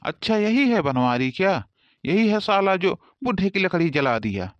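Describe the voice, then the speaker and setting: A man's voice: high voice, very animated, normal speed, no echo. A man as a dull-sounding, blustering cartoon policeman. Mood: bossy. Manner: bossy, complaining. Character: blustering, easily cowed male village police officer. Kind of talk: comic cartoon dialogue.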